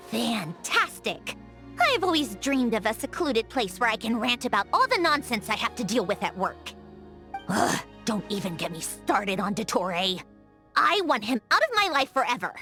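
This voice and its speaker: A prickly young lady automaton from a video game, a proud genius with a soft heart underneath. The voice is fairly high and swings expressively from lofty poise to huffy fluster. Music plays behind the speech. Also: sing-song, unhurried, full character voice. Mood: exasperated, ranting. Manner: haughty, huffy, flustered when caught being kind. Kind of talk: scripted video game character voice acting.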